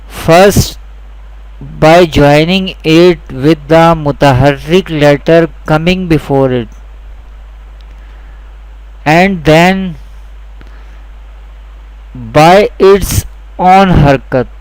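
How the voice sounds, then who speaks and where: Slow, clear-voiced male teacher. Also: fairly high pitch, a little mellow, no room sound, poor audio. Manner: deliberate, repeats each point twice. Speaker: Quran teacher. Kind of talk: slow, repetitive instructional lesson.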